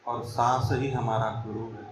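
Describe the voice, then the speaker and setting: Male meditation guide, very unhurried, reverent and soothing; roomy, echoing sound with some background noise. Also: medium pitch, level tone, far from the mic. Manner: reverent, soothing, deliberate with long pauses. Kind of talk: guided meditation in Hindi, soft instruction.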